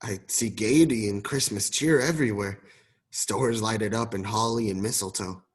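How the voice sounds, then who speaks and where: Man acting a humbled old miser, slightly low voice, formal, earnest, radio drama. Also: moderate pace, husky. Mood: moved, observing.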